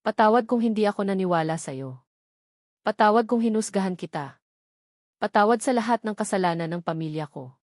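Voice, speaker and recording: A woman's voice: mid-range voice, lively intonation, a little fast, a little mellow, no room sound, studio quality, natural voice. A woman voicing an emotional, remorseful young wife in a romance audio drama. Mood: tearful, sorry.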